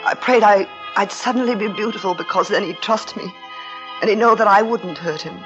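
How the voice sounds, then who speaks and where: An actress playing a frightened, guilt-haunted young wife in a 1940s radio thriller, pleading anxiously and often repeating herself. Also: female voice, mid-range voice, a little fast, natural voice. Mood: haunted, confiding. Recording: dull old radio recording with music.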